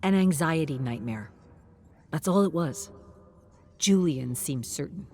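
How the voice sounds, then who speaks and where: A woman reading a scripted story in a dramatic, deliberate way, at times dropping to a hushed tone. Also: deep voice, lively intonation, a little hiss or hum. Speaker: narrator.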